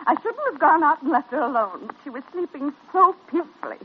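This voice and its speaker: An actress playing a fretful, flighty hospital nurse in a 1940s radio drama, chattering and flustered. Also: female voice, high voice, expressive, a little fast. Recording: muffled, noisy old radio recording.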